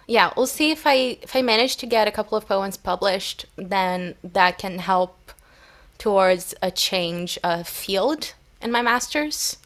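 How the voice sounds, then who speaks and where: A woman's voice: mid-range voice, animated, unhurried, a bit bright. Chatty young woman, native Portuguese speaker. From Brazil. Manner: chatty, rambling, with many fillers and restarts. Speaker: podcast co-host. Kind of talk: casual chat.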